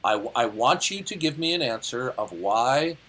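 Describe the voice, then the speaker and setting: Late-fifties male debater, domineering and interrupting, husky and swooping in pitch. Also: lively intonation, normal speed, fairly bright tone. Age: 50s. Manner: condescending, interrupting, lecturing. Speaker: debate caller. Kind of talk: heated debate.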